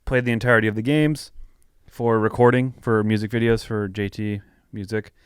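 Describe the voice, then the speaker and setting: A man's voice: lowish voice, steady tone, moderate pace, smooth, dry recording, clean studio sound. A man co-hosting a podcast in loose, casual conversation. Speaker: podcast host. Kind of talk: casual chat.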